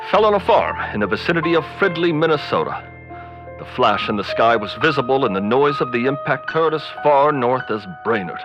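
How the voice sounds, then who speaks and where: Bulletin announcer, male actor: formal 1930s radio delivery, earnest and declamatory, with lively intonation over music. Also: medium pitch, dull audio, lightly acted.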